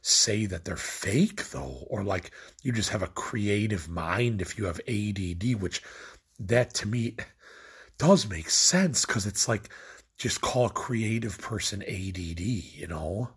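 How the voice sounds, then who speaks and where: A stoned young man from Illinois rambles through a late-night rant, circling back on himself and padding every thought with "like." His voice sits low and sounds heavily husky and breathy. He talks casually to camera as a vlogger. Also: expressive, a little slow. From Illinois, USA. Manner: meandering, filler-heavy, lots of "like".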